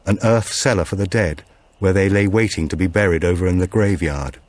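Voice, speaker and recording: Man, low-pitched, audiobook narrator, scripted suspense storytelling. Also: darkish tone, no echo.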